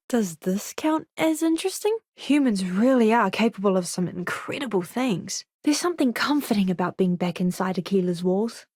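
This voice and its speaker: A woman's voice: medium pitch, darkish tone, no echo, studio quality, close to an everyday voice. A woman voicing an eager, curious young botanist and doctor in a spaceship crew for a video game, with an earnest, polite manner. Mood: curious, friendly.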